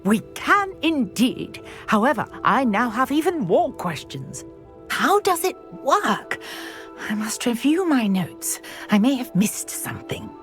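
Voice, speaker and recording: A woman's voice: a little high, very animated, unhurried, soft background music. A woman voicing an eager, chatty witch archaeologist in a video game, prone to exclaiming in wonder. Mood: brisk, friendly. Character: excitable scholarly witch, archaeologist obsessed with Merlin.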